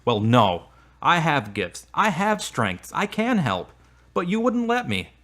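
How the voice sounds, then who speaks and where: A man playing a devout, easily wounded boyfriend in an audio drama, earnest and expressive. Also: fairly high pitch, slow, full character voice. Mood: hurt, indignant. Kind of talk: acted audio drama dialogue.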